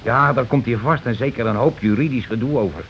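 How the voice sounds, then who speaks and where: An actor playing a domineering, self-justifying working-class husband in a radio play, talking in an insistent, colloquial, repetitive way. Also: male voice, medium pitch, fairly quick, gravelly, lightly acted. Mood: blustering. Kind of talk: Dutch radio play acting. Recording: dull, noisy old radio recording.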